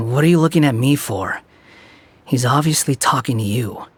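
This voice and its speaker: A man's voice: medium pitch, animated, moderate pace, fairly bright tone, slightly rough, no echo. A man playing an aloof, impatient young reluctant hero in a video game, speaking in a dry, dismissive, sarcastic way. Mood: scornful. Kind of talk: video game character acting.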